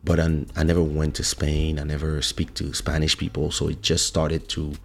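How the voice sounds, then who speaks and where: A man from Congo hosting a podcast, talking casually in a relaxed, rambling way and often correcting himself. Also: a bass voice, moderate pace, creaky. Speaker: podcast host. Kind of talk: casual monologue.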